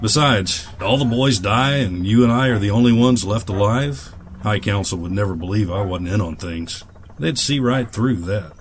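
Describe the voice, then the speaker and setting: Low-voiced, weary old aviator played by a man. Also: moderate pace, a little hiss or hum, lightly acted. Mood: bitter, grieving. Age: older. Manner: folksy, plain-spoken, brooding. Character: weary, bitter old aviator turned farmer, loyal and proud. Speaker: voice actor. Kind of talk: audio drama acting.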